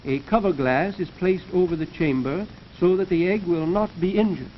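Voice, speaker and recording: A man giving formal, measured, scripted narration in the manner of a 1930s educational science film, lecturing as the narrator. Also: high-pitched. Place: recorded voice-over for a film. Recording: dull, noisy 1930s film soundtrack.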